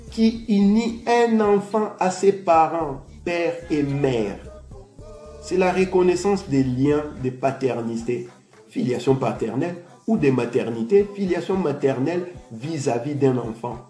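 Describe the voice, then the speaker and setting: A man's voice: on the high side, warm tone. A man explaining a legal point step by step in a teaching tone, mixing in Lingala phrases. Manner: didactic, mixes in Lingala phrases. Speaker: commentator. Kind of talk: explanatory commentary. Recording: echoey room with faint music.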